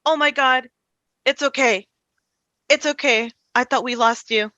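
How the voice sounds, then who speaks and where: A woman's voice: sits high, a little slow, sharp tone, no room sound, dull audio. A woman playing an anxious, caring teenage boy desperate for his friend, in a fan table read. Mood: relieved, tender. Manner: urgent, anxious. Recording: dry video-call audio, music underneath.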